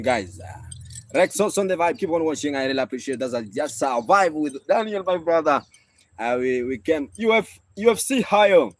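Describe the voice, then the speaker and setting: A Kenyan man with a Kenyan accent, giving playful, drawn-out shout-outs to viewers during a live music stream. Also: dry recording. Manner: drawn-out, playful, breaks into laughs and high whoops. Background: loud traditional string music with rattles.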